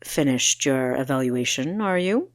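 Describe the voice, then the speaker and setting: A woman voicing a serene, formidable male dungeon keeper in an audiobook, calm, cool and unhurried. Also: a bass voice, no echo, clean studio sound, voice pushed down. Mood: serene, probing. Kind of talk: audiobook character voice.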